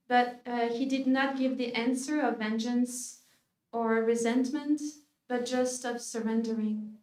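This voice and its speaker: Woman, French accent, fairly high voice, hesitant and humble, echoey hall, off-mic. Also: a little mellow, recorded from a distance. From France. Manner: hesitant, humble, many fillers. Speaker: religious sister giving a talk. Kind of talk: faith talk to an audience. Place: lecture hall.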